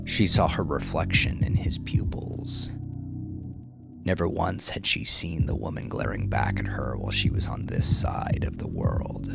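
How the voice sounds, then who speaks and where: Low-voiced man narrating dark crime fiction. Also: gravelly. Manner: grave, deliberate, suspenseful. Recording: music bed under narration. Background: music.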